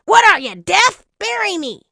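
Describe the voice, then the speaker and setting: Woman voicing tiny, bossy, high-pitched magic beans. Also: sing-song, normal speed, sharp tone, dry recording, studio quality, heavily acted. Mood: impatient. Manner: pushy, insistent.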